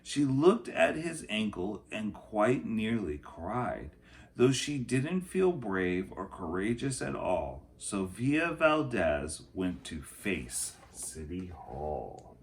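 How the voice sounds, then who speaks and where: A man, a teacher, reading a children's picture book aloud in an expressive storytelling style. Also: on the low side, slow pace.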